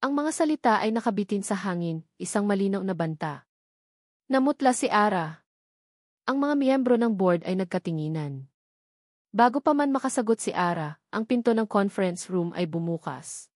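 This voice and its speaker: A woman's voice: mid-range voice, expressive, moderate pace, darkish tone, dry recording, studio quality. A woman reading scripted dramatic narration as the storyteller of an audio drama.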